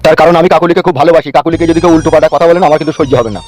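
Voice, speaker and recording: A man's voice: fairly high pitch, little pitch movement, racing, darkish tone, natural voice. A man voicing a doting, touchy young newlywed husband in a comedy cartoon. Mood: offended.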